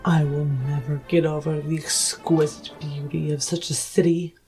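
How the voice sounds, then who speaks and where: A man's voice: on the high side, flat delivery, moderate pace, darkish tone, faint music behind, lightly acted. A man voicing a haughty dwarf noble with pompous, formal, clipped phrasing in a tabletop roleplaying game. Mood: composed, curt.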